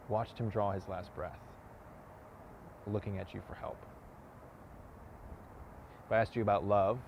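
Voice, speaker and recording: A man's voice: on the low side, natural voice. Man acting a wounded, earnest man, muffled noisy recording. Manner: halting, pointed, repeating words emphatically. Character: wounded, earnest man confronting a cocky youth, grieving lover. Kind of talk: dramatic film monologue performance. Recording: dull lo-fi audio, clear outdoor noise.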